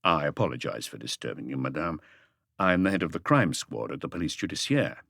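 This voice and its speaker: Guy doing a courteous detective chief for an audiobook, low quiet voice, very measured. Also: expressive, gravelly, no room sound, studio quality, full character voice. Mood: polite, formal. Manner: measured, low-key, polite. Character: calm, courteous male police chief inspector, detective.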